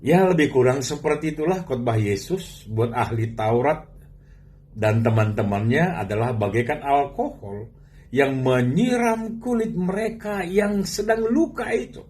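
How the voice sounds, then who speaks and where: A man delivers a sermon as a preacher, using rhetorical questions and emphatic, drawn-out phrasing. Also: medium pitch, lively intonation, darkish tone.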